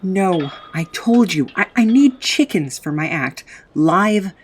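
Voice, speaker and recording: An actor playing a bewildered, earnest circus stunt pilot in an audio drama, with a husky, hesitant delivery. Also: male voice, high-pitched, expressive, slow, slight background noise. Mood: pleading.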